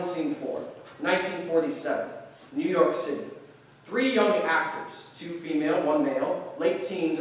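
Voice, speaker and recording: A man reading stage directions as scripted narration at a staged play reading. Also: a little high. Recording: very echoey room, distant mic.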